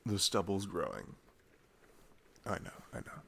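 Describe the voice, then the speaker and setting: A man's voice: low-pitched, sing-song, unhurried, vocal fry, fairly bright tone, slightly rough, full character voice. A young man playing a sweet, playful boyfriend in an ASMR roleplay, chatting tenderly and casually in a rambling, teasing way. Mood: playful, relaxed. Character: sweet, playful, affectionate boyfriend cuddling his partner. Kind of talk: ASMR boyfriend roleplay, improvised intimate chat.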